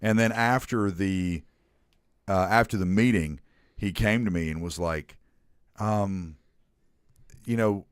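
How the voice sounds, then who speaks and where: Low-voiced joking podcast host, a man. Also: slow pace, pauses often, smooth, no room sound. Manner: joking, rambling storyteller. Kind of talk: casual podcast chat.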